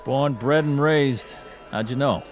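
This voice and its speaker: A man acting an unflustered New York bartender in a radio drama. He talks in short, matter-of-fact lines at a medium male pitch, with music clearly playing behind. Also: measured pace, close to an everyday voice. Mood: unimpressed. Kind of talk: dramatized radio-play dialogue.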